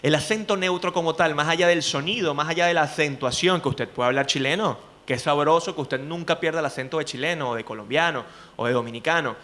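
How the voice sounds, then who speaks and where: A man with a Venezuelan accent, a journalist speaking to students in an animated way, often asking rhetorical questions. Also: fairly high pitch, quick pace, hardly any pauses. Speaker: journalist and speaking coach, guest. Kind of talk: lively talk to students.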